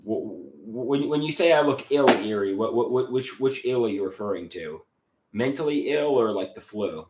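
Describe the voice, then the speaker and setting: A man hosting a livestream, reading chat messages aloud and answering them, his voice a bit congested, with halting, stammering restarts that trail off. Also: medium pitch, lively intonation, darkish tone, echoey room, a little hiss or hum, poor audio. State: slightly congested voice.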